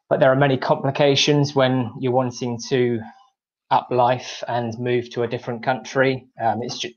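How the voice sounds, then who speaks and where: A man in his thirties with an English accent, speaking from home on a video call, giving a measured personal account with frequent ums as a webinar guest. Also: medium pitch. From England. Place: home room, indoors. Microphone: video call, earphones. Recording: slightly dull video call audio.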